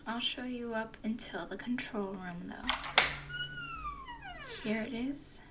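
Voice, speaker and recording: A woman voicing a flirty, playful female werewolf guide in an ASMR roleplay, speaking in soft, teasing whispers. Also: a little high, slow, very noisy.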